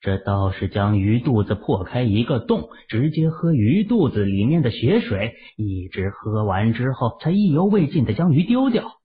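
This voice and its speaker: A man narrating a fantasy novel as an audio drama, with dramatic, expressive storytelling. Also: mid-range voice, moderate pace, hardly any pauses, husky. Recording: phone-like narrow-band sound.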